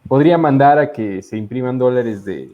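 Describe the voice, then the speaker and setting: A man's voice: medium pitch, normal speed, dark tone. A young man from Ecuador, a law student, explaining politics as an interview guest in a hesitant way with many fillers, heard over a video call. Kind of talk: casual interview talk. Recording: dull lo-fi audio.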